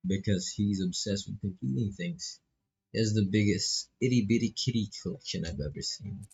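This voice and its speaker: A man's voice: lowish voice, animated, moderate pace, vocal fry, darkish tone, breathy edge, lo-fi sound. A man with a Filipino accent commentating a visual novel as he plays, casually reading dialogue aloud with playful asides. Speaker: streamer playing a visual novel. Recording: music behind the voice.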